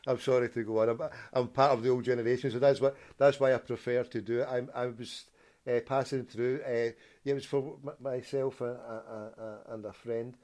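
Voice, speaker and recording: A man with a Scottish accent rambles through a prank phone call. He hesitates and stammers over his words, at an ordinary middle pitch. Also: steady tone, a little fast, darkish tone. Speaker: prank caller.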